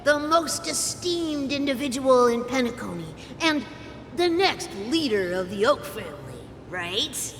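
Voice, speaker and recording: Cheeky, highish-voiced irreverent girl. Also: big pitch swings, unhurried, fairly bright tone, faint music behind, heavily acted. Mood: teasing, flippant. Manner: breezy, irreverent. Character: cheeky, flippant young woman mocking a solemn confession. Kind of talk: playful game voice acting. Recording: long hall reverb, faint rumbling noise.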